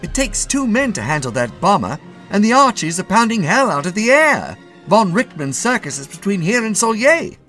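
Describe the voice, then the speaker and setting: A man's voice: on the high side, big pitch swings, normal speed, faint music behind, voice pushed up. A man voicing an anxious, deferential wartime army sergeant, urgent and emphatic, in a story reading.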